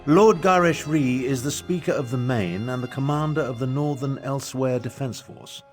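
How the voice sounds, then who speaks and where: A man's voice: mid-range voice, normal speed, darkish tone, natural voice. An older man acting a pompous, haughty battle mage and imperial advisor in a video game, with theatrical delivery. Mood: explanatory. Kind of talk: video game character dialogue. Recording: music behind the voice.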